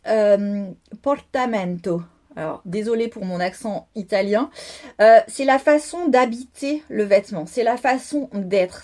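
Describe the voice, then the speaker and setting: A woman from France talks straight to her listener about clothes and confidence in a podcast monologue. Her voice sits somewhat high. She circles back over the same points and leans on fillers as she goes. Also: a little mellow. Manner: informal, repetitive, many fillers. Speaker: style coach.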